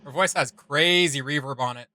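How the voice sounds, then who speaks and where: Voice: mid-range voice, moderate pace, bright, forceful tone, dry recording. A reaction video host giving casual, joking, informal commentary.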